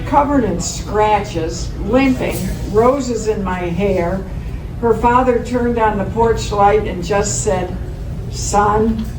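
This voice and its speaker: A woman's voice: mid-range voice, takes it slowly, close to an everyday voice. A woman in an amateur stage reading, playing a wry, romantic older man telling of youthful mishaps. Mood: wry, nostalgic. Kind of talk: amateur readers theater. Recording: roomy, distant mic, clear noise.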